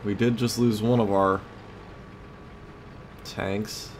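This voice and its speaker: A man's voice: lowish voice, steady tone, takes it slowly, a little mellow. A man giving casual let's play commentary on a video game, thinking aloud in short tactical remarks. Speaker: gamer, host of a let's play series. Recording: clear background game noise. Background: vehicle and battle sounds of a tank game.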